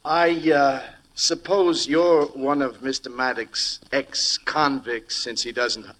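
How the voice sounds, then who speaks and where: Bright, formal radio merchant. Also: male voice, medium pitch, fairly even intonation, slow pace. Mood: politely probing. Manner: hesitant, formal, precise. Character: polite, shifty diamond merchant. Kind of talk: 1940s radio crime drama acting. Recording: noisy vintage radio recording.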